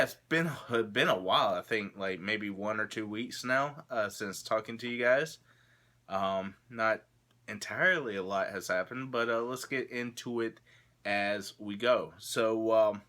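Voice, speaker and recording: A man's voice: on the low side, bright, forceful tone. A young man with a stuffy, congested nose, rambling casually to camera in his vlog with lots of filler words. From Florida, USA. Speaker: YouTube vlogger.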